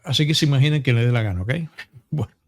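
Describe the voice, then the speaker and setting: A Cuban man in his fifties with a Cuban accent, hosting a podcast interview and telling stories in a lively, chatty way full of asides. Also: medium pitch, fast. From Cuba. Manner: animated storyteller, full of restarts and asides. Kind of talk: casual conversational interview.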